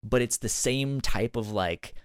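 A man co-hosting a podcast, chatting casually in a halting way and often repeating words as he goes. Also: medium pitch, clean tone, no echo, clean studio sound. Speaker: podcast co-host. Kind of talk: casual podcast review chat.

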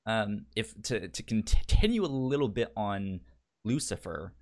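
A man's voice: on the low side, animated, unhurried. A man co-hosting a podcast in casual discussion, stuttering often as he repeats sounds and syllables. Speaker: podcast co-host.

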